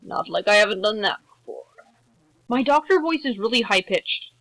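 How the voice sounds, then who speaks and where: A woman's voice: mid-range voice, expressive, normal speed, a bit bright, poor audio. A woman chatting casually and self-deprecatingly with friends over an online voice call.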